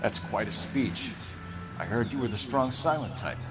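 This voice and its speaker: A man's voice: mid-range voice, lively intonation, moderate pace, close to an everyday voice. Cool, clipped detective over music, man acting. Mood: cool, wry. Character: earnest, composed young male police detective. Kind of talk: cinematic video game acting. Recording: game audio with music behind.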